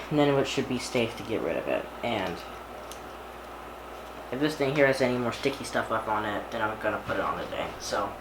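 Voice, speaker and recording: Man casually narrating, noisy home recording. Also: medium pitch. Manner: informal, narrates each small action aloud. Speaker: hobbyist video creator presenting an unboxing. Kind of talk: casual hands-on unboxing commentary.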